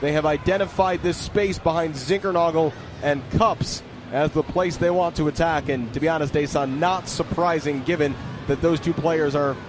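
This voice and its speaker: Guy doing radio soccer play-by-play, pretty high voice, noisy stadium ambience around him. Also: steady tone, moderate pace. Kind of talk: live soccer commentary. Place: broadcast position at an open-air stadium. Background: loud stadium ambience.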